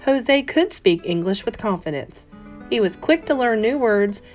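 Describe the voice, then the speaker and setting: A woman, a teacher, reading a children's picture book aloud in an expressive, carefully phrased, dramatic manner. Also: fairly high pitch, measured pace. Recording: soft guitar music behind voice. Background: plucked guitar music.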